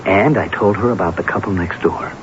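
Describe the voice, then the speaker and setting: An actor playing an offhand, evasive, scheming husband in a radio drama. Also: male voice, deep voice, a little fast, muffled recording, lightly acted. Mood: sly, explaining. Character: smooth-talking, scheming unfaithful husband. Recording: noisy, band-limited old radio broadcast.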